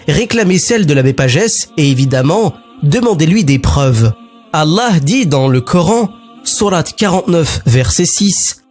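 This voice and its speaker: A man's voice: a little high, a little mellow. A man narrating a scripted communiqué in a formal, polemical, declamatory way, as for an online religious channel. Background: music.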